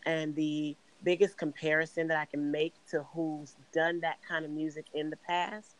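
A raspy middle-aged woman from Chicago speaks in an earnest, measured way as a podcast guest. Her pitch stays level and even, with little rise or fall. She tells her story steadily and without hurry. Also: low-quality recording. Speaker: interview guest, singer. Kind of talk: podcast interview.

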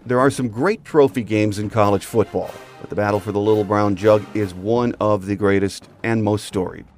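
A man's voice: on the low side, fairly even intonation, moderate pace, a little mellow, soft background music. A man hosting a sports podcast, delivering a scripted commentary monologue. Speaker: podcast host.

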